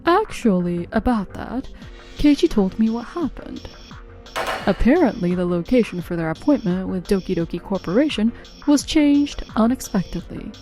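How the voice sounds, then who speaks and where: A woman's voice: mid-range voice, sing-song, moderate pace, warm tone, faint music behind, close to an everyday voice, rough recording. A woman playing a businesslike, matter-of-fact office colleague in a scripted manga dub. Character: businesslike female office colleague reporting problems.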